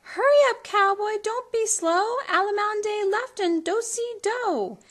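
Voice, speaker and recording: A woman's voice: extremely high pitch, lively intonation, slow, fairly bright tone, voice pushed up, exaggerated voice. A woman voicing a spirited, sweet young cowgirl heroine in a western storybook, eager and exclamatory. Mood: exuberant.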